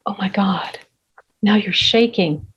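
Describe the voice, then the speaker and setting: A woman's voice: medium pitch, slow, breathy edge. A woman at a table read playing an eager, nervous woman of twenty-five, on a lo-fi recording. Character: upbeat, anxious 25-year-old woman, new renter. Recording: dull lo-fi sound.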